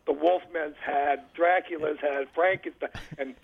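A man's voice: on the high side, steady tone, a little slow, gravelly, breathy edge, phone-quality sound. A middle-aged man calling in to a radio show, chatting informally and colloquially over a call-in line. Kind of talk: casual nostalgic chat.

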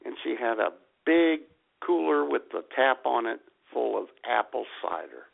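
A man's voice: mid-range voice, sing-song, normal speed, creaky. A man co-hosting a comedic radio show, trading playful banter and slipping into mock grumpy voices. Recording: phone-like radio audio.

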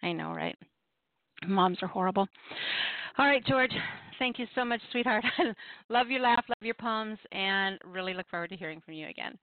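A woman's voice: mid-range voice, moderate pace, no echo. Playful middle-aged woman, muffled dry sound. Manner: playful, self-deprecating, uses endearments. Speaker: host of an open mic poetry call-in radio show. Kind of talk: casual, chatty banter and storytelling. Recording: muffled, dry radio call-in line.

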